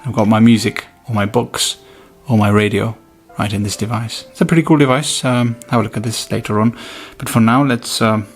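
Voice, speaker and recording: A man's voice: lowish voice, fairly even intonation, brisk, hardly any pauses, faint music behind. A man doing a casual, hands-on product review, thinking aloud informally as he demonstrates. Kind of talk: casual unboxing and review commentary.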